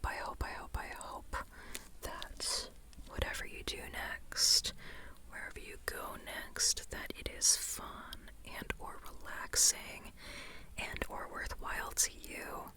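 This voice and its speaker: An ASMR creator whispering an informal, rambling book chat in a breathy, unvoiced voice, tentative and softly repeating phrases.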